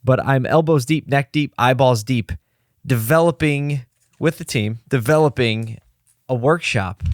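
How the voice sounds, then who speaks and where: A man hosting a solo podcast from his office desk, slightly hoarse and low on energy, talking in a rambling, candid way and pepping himself up as he goes. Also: unhurried, dry recording, clean studio sound. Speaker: podcast host. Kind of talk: casual solo podcast talk.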